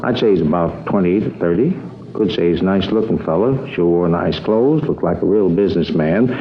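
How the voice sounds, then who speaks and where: An upset robbed diamond dealer in 1950s radio style. He rambles fretfully in a slightly low voice. The recording is dull, with faint hiss. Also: lively intonation, fairly quick. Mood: rueful, explaining. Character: shaken, indignant male robbed diamond dealer. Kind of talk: 1950s radio crime drama acting.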